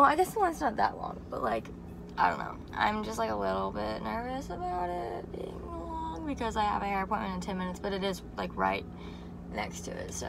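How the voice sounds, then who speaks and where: A young woman vlogger with a slightly raspy, deep-for-her voice, rambling casually with lots of fillers, filmed on a phone in her car and later in her room. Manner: chatty, rambling, lots of "like" and "freaking". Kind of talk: casual vlog chat. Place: inside a car, then a bedroom. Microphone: phone camera on dashboard, then handheld. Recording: noisy car recording.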